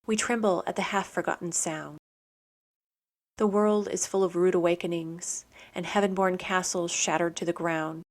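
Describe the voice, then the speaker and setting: Lower-pitched woman hosting a poetry program. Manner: measured, literary, explanatory. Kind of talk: scripted educational narration with poetry readings.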